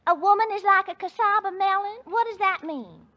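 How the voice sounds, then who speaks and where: A woman's voice: very high voice, a little fast, bright, forceful tone, dull audio. A woman playing a college co-ed in a 1940s radio comedy sketch, coy and drawling. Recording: old radio broadcast.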